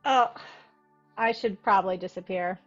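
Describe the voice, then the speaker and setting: Woman acting weary young female lead, wistful, husky, lo-fi sound, faint music, table read. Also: medium pitch, moderate pace, natural voice. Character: Ramona, weary, guarded young woman with regrets. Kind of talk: table read of a film script.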